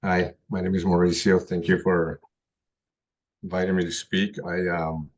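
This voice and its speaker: A middle-aged man who grew up in Colombia tells his own story to a group, pausing and restarting often. His voice sits very low and is slightly husky. He speaks in a flat, even tone. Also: lo-fi sound. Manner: halting, many ums and restarts. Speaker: guest speaker. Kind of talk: personal testimony talk.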